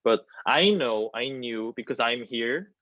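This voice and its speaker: Young man, Korean accent, halting non-native English, dry sound, slightly dull call audio. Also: medium pitch, lively intonation, fairly bright tone, no room sound. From South Korea. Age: 20s. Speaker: theater student. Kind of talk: hesitant spoken answer in an online panel discussion. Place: small plain indoor room. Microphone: video call, wireless earbuds. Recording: muffled video-call audio.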